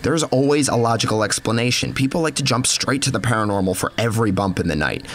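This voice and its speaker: A man's voice: medium pitch, quick pace, voice pushed up, natural voice. A man acting as a blunt, cynical, scornful skeptic in an audio story, with a defensive edge. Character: blunt, scornful male skeptic confronting a paranormal author. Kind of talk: acted audio story dialogue.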